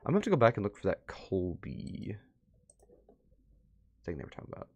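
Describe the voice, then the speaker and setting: A man with a fairly low voice hosts a reaction video in a casual way. He thinks aloud and mutters asides, and the recording is close and dry, with very little room sound. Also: sing-song, brisk, no echo.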